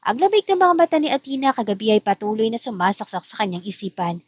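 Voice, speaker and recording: A woman's voice: fairly high pitch, big pitch swings, fast, husky, no room sound. Woman narrating a drama, dry dull recording. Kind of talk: dramatic scripted story narration. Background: music.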